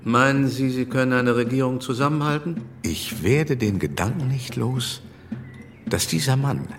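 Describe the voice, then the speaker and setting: A man's voice: mid-range voice, lively intonation, moderate pace, a little hiss or hum, close to an everyday voice. An actor playing an anxious, weary French king in a radio drama, speaking in a formal, courtly and hesitant way. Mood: wary, impatient.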